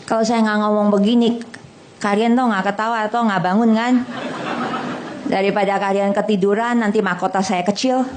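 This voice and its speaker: A middle-aged woman preaching to a congregation in a hall. She is animated and blunt, jokes in casual slang and keeps asking the audience questions. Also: mid-range voice, normal speed, noisy background. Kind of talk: lively sermon.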